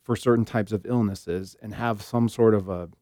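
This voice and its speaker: A man's voice: lowish voice, steady tone, moderate pace, dark tone, no room sound, clean studio sound. A middle-aged man co-hosting a casual podcast, with a wry, joking way of talking. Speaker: podcast co-host.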